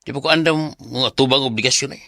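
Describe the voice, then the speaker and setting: An actor with a Visayan Filipino accent playing a dismissive, irresponsible boyfriend in a radio drama. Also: male voice, mid-range voice, quick pace, pushed and bright, dry recording, studio quality. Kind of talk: Cebuano radio drama acting.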